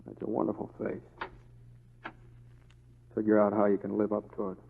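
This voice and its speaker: A man's voice: on the low side, completely flat delivery, moderate pace, creaky, breathy edge, in a large hall. A man playing an easygoing, wry western deputy with a folksy, hesitant drawl in an old radio drama. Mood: coaxing. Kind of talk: 1940s radio acting. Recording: dull old radio recording.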